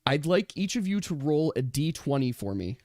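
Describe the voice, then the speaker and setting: A man's voice: fairly high pitch, sing-song, normal speed, darkish tone, no echo. A man speaking as game master, switching between casual rules talk and descriptive narration of a tabletop role-playing game.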